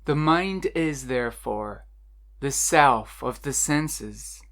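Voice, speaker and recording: Very slow, measured meditation guide. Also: mid-range voice, expressive, fairly bright tone. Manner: slow, measured, instructional delivery with deliberate pauses. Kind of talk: scripted guided meditation narration.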